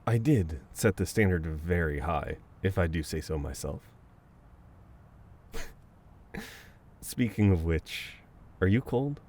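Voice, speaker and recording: A man acts out a caring best friend with a dominant, teasing streak in an intimate ASMR roleplay. His voice is very low and he takes his time, talking casually as if to one close companion. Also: lively intonation, slow, pauses often, exaggerated voice. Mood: firm, affectionate. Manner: casual, conversational, with soft chuckles and hesitations.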